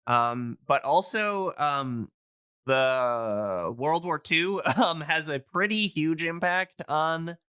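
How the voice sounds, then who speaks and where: A man explaining things casually on a podcast as an enthusiast, in a halting, rambling way full of fillers. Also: on the high side, expressive, bright, forceful tone, clear, resonant tone, dry recording, studio quality. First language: English. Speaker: podcast speaker on old-time radio.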